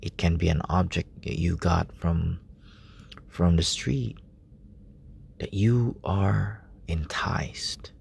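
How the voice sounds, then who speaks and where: Guy preaching quietly at night, super low gravelly voice, slow and halting, lots of um and you know, faint hiss behind. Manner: hushed, halting, many fillers. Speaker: lay preacher. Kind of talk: informal late-night sermon. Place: room in a relative's house at night.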